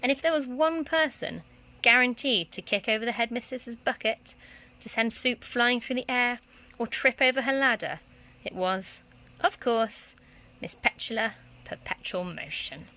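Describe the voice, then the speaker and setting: Female teacher for children's storytelling, bright, clear-cut expression with highly animated intonation. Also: unhurried. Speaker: primary school teacher reading aloud.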